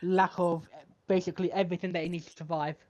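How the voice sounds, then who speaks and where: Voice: mid-range voice, little pitch movement, fast talker, crowd noise. A podcast co-host debating a film in a casual chat, pushing back again and again with counterpoints. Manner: argumentative, pushes back repeatedly.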